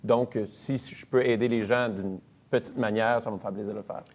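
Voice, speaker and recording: A man's voice: lowish voice, brisk. Chatty Quebec comedian in his thirties. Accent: Quebec French. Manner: chatty storyteller, relaxed and self-deprecating. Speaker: comedian and actor as interview guest. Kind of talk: casual interview chat.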